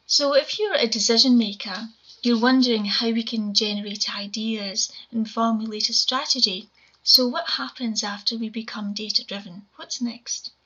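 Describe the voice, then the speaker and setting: Scottish woman, 40s, quiet voice, bright tone, explaining slides over a muffled webcam mic. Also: a little high. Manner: measured, explanatory presenting. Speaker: conference speaker. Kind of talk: recorded conference talk over slides. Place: home room, indoors. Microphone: webcam video call. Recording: dull lo-fi webcam audio.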